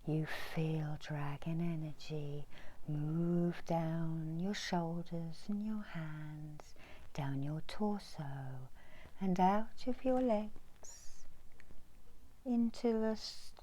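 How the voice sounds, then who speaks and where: A middle-aged woman softly whispers an ASMR guided meditation in a breathy, soothing, deliberate way with long pauses. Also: low voice, rough recording. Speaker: reiki healer guiding a meditation. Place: indoors, small room.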